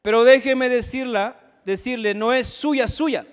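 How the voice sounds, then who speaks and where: A pastor with a high, smooth and resonant voice preaches, often asking his listeners questions directly. His voice carries a long reverb through the church sanctuary. The delivery sounds like a live sermon. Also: measured pace. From Ecuador. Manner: preaching with rhetorical questions and acted asides.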